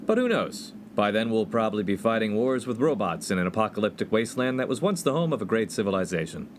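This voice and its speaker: A male actor plays an awkward 1950s college freshman, earnest and innocent. His slightly low voice swings widely as he gets flustered. It is comic radio-play acting with faint noise behind. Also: big pitch swings, quick pace.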